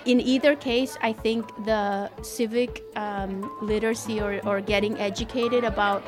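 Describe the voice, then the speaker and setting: A woman's voice: mid-range voice, steady tone, a little slow, music in the background. A woman from El Salvador who moved to Canada as a child, talking conversationally in an interview as a community coordinator. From El Salvador, moved to Canada at eleven.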